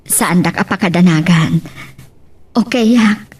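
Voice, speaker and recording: A woman's voice: fairly low pitch, unhurried, darkish tone, slightly rough, far from the mic, soft background music. A woman playing a loving, worried mother in an Ilocano audio drama, speaking tenderly and anxiously. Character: worried, loving, self-sacrificing mother of grown children.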